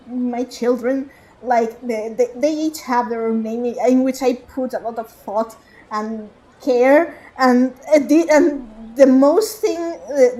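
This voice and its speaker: A woman's voice: high-pitched, warm tone, poor audio. A woman streaming a game, rambling in casual commentary with frequent laughter and restarts.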